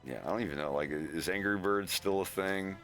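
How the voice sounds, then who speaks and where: A man's voice: deep voice, a little fast, a bit bright, soft background music. An American man streaming from home, rambling in casual chat and peppering his talk with "like", "dude" and swearing. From United States.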